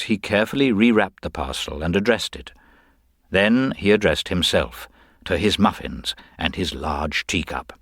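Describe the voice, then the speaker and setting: A man reading a detective story as scripted literary narration, measured, formal and precise. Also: sits low, fairly bright tone, dry recording, studio quality.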